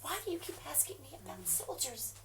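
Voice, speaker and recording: A woman's voice: high-pitched, expressive, unhurried, gravelly, far from the mic, heavy background noise, heavily acted. A woman playing a frantic, frightened caller. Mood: whispering. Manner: frantic, pleading, shifting to hushed whispers. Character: frightened woman phoning for help, desperate. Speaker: actor playing a frightened mother on phone calls. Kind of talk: dramatic monologue acting.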